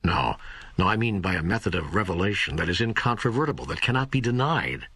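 An earnest seeker in an audiobook dialogue with God. The voice is fairly low and husky, with very animated intonation. It searches and protests, in a dry, close recording. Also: male voice, a little fast, no echo, heavily acted. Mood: insistent. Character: earnest, questioning man seeking answers from God.

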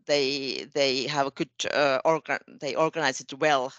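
A woman who speaks Finnish natively, joining a casual discussion as a guest, halting and repeating words as she searches for phrasing. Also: extremely low pitch, steady tone, fairly bright tone, no room sound, highs cut off.

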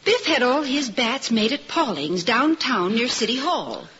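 Motherly, resourceful female lead, bright forceful tone, old radio drama, muffled transfer. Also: fairly high pitch, big pitch swings, measured pace, breathy edge, exaggerated voice. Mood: reassuring. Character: plucky, motherly married woman, resourceful ally. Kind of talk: 1950s radio drama acting.

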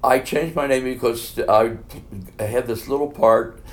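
An older man, a veteran musical performer, telling stories in an interview with a loud, animated way of talking. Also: mid-range voice, measured pace. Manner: animated storyteller, exclaims. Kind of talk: conversational interview.

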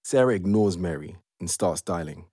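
A man's voice: sits low, animated, moderate pace, mellow, no room sound. A man reading scripted narration as the storyteller of an audio play. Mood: measured.

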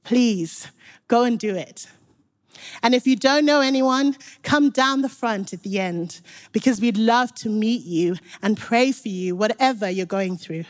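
Woman from Zimbabwe preaching earnestly. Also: mid-range voice. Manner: earnest, encouraging, direct to the congregation. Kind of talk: sermon.

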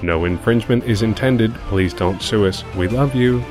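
A man's voice: fairly low pitch, normal speed, music in the background. A man reading scripted closing credits as the show's creator, in a tongue-in-cheek manner. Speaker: writer, director and producer reading closing credits.

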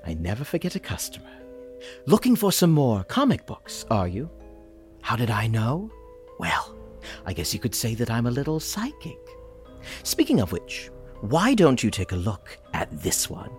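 Sly, swooping horror host. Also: male voice, medium pitch, huge pitch swings, normal speed, faint music behind. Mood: sly, teasing. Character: sly, teasing comic shop keeper who claims psychic powers. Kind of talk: theatrical horror host narration.

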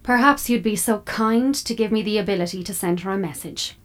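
An actress in an audio drama playing an opinionated, proud, fussy smart fridge that likes to nurture and persuade. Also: female voice, mid-range voice, brisk, close to an everyday voice. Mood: haughty.

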